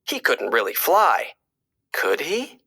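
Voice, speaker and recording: Animated woman reading a children's story. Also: sits low, takes it slowly. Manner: dramatic, clearly phrased for children. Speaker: storybook reader. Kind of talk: expressive children's read-aloud narration.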